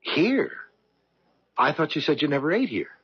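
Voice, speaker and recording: An actor playing a smooth-talking, ambitious salesman, breezy and persuasive, in the style of 1970s radio drama. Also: fairly high pitch, big pitch swings, moderate pace, full character voice. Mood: playful. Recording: dull 1970s radio recording.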